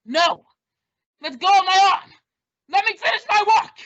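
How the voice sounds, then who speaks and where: Deranged young murderer played by a woman, high-pitched and forceful, melodramatic, menacing, old-time radio villainy. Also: expressive, moderate pace, muffled recording, slight background noise. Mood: frantic anger.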